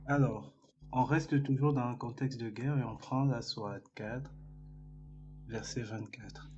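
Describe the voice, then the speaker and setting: A man's voice: medium pitch, fairly even intonation, measured pace, dull audio, over music. A man in a casual debate, reading text out and explaining it point by point. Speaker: debate participant.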